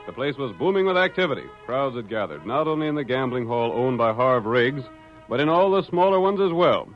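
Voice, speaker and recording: A man narrating a western in dramatic 1940s radio style. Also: medium pitch. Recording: muffled old radio recording.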